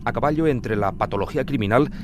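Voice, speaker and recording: A man's voice: mid-range voice, fast talker, background noise. A middle-aged man from Spain with a Spanish accent, narrating scripted true crime as a journalist in dramatic, literary phrasing.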